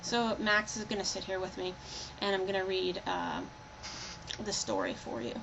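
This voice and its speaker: Young woman, very creaky voice, casual playful delivery, homemade computer recording, clear background noise. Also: medium pitch, lively intonation, moderate pace. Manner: informal, rambling, playful. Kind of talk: casual chat and storybook read-aloud. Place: indoors, recording on a personal computer. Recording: noisy home computer recording.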